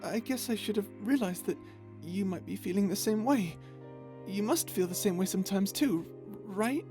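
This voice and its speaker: A woman acting in an audio roleplay as a gentle, childlike non-human crew member, speaking with a timid, hesitant, earnest stammer. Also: medium pitch, moderate pace, natural voice. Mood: wistful, unsure. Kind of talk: ASMR audio drama roleplay. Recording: music under the voice. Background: music.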